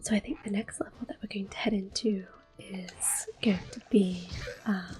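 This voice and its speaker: A woman whispering gently and unhurriedly in a soft, breathy voice, commentating a game in ASMR style up close to the microphone. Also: medium pitch, fairly even intonation, faint music behind. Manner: gentle, unhurried, softly spoken. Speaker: ASMR gaming host.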